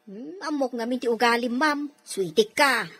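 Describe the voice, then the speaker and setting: A woman's voice: fairly high pitch, normal speed, a bit bright. A Filipino woman playing an outspoken, bold housemaid in an audio drama, with pointed, emphatic delivery. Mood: defiant.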